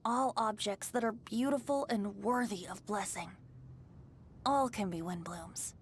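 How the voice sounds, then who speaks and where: A woman's voice: mid-range voice, a little slow, a little hiss or hum, close to an everyday voice. A woman voicing a playful, wistful young male bard in a video game, with a teasing, lyrical delivery. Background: music.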